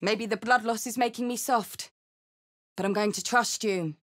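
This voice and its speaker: A woman's voice: fairly high pitch, fairly even intonation, normal speed, close to an everyday voice. A woman voicing a blunt, battle-hardened tiefling warrior in a video game, sardonic but loyal, with a wry delivery. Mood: resolute. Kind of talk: video game character voice lines.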